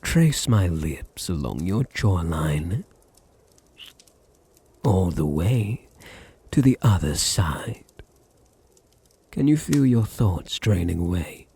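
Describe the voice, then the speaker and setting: A man playing a deep, husky British vampire master. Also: big pitch swings, dark tone, no echo, exaggerated voice. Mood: hypnotic, seductive. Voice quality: breathy, husky, often sinking into whispers. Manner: slow, soothing, teasing, condescendingly tender. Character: dominant, possessive, seductive male vampire noble doting on his servant. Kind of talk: intimate ASMR roleplay.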